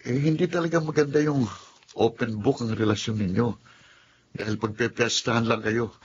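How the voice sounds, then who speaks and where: A man's voice: mid-range voice, fairly even intonation, normal speed, husky, lo-fi sound, close to an everyday voice. An actor playing a frank young man who teases his friend and hides his own jealousy. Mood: teasing. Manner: frank, teasing. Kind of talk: radio drama acting.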